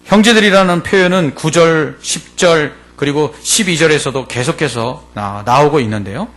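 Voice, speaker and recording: A male pastor delivers an expository sermon in a deliberate, explanatory way, stressing key words and posing rhetorical questions. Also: a little high, a bit bright.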